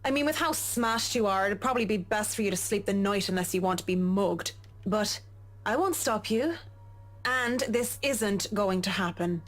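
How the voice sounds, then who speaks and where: A woman's voice: medium pitch, animated, moderate pace, soft background music, close to an everyday voice. An actress playing a tipsy, guarded young woman in a visual novel game. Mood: firm, guarded. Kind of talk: game voice acting.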